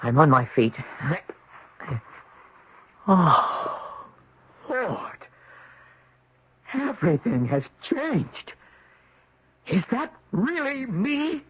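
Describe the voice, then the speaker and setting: An actor playing a wary young surfer in a 1970s radio drama, speaking and narrating in a dramatic, emphatic way. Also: male voice, high voice, sing-song, drawn-out words, long pauses, breathy edge, heavily acted. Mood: bewildered, agitated. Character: bewildered young surfer man, wary and agitated. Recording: dull, noisy 1970s radio recording.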